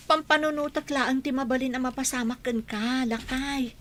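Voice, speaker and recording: Woman doing exasperated scolding wife in a radio play, high sharp voice. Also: steady tone, moderate pace, slight background noise. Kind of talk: Ilocano comedy radio drama acting.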